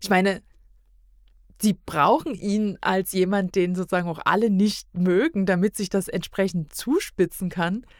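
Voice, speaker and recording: A woman's voice: mid-range voice, moderate pace, dry recording. Giggly woman in casual conversation, dry sound. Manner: giggly, often laughing while talking. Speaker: podcast guest reviewing audio dramas. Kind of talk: casual chat.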